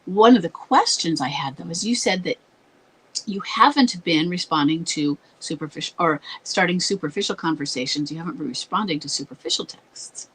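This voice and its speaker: A woman hosting an advice talk, congested and scratchy as her voice gives out, explaining in a halting way. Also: on the low side, expressive, a bit bright. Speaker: advice show host. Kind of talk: advice talk with read-out letters.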